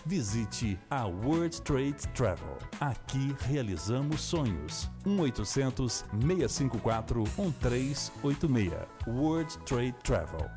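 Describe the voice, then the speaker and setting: A man doing a commercial voice-over in a smooth, persuasive sales read. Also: medium pitch, big pitch swings, unhurried, hardly any pauses. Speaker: radio ad announcer. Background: music.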